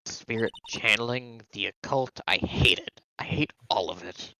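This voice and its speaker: A man's voice: lowish voice, a little slow, bright, forceful tone, faint music behind, full character voice, low-quality recording. A man voicing a bitter, resentful woman villain in a comic fan dub.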